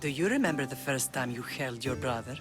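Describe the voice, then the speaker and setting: Stern but loving older spartan mom, measured and solemn, game cutscene w/ clear music under it. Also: female voice, a bass voice, expressive. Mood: tender. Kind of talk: video game acting.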